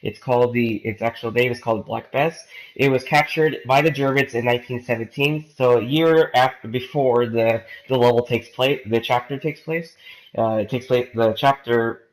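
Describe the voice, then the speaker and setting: A man's voice: mid-range voice, normal speed, low-quality recording. A young man with a cold, rambling through a casual gaming commentary with lots of fillers and restarts. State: has a cold. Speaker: gaming YouTuber. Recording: game sounds behind voice.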